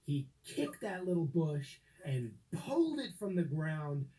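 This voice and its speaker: A man narrating a children's story from a script, with dramatic, deliberate phrasing and expressive emphasis. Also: fairly high pitch, low-quality recording.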